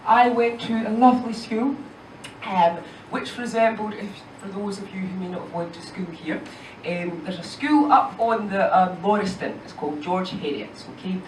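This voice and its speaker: A woman's voice: medium pitch, moderate pace, a little hiss or hum. A young Scottish woman performing a stand-up comedy set, chatty and full of "you know" and "right?" asides. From Scotland. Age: young adult. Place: comedy club stage. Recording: roomy club sound from a distant mic.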